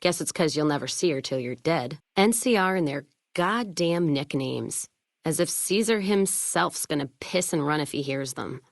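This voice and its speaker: An actress playing a hard-drinking, foul-mouthed, bitter caravan trader in a video game, with a gruff, sardonic, blunt manner. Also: female voice, low voice, animated, moderate pace, dry recording, studio quality. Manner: gruff, sardonic, blunt frontier drawl of speech, dropped words. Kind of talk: video game character dialogue.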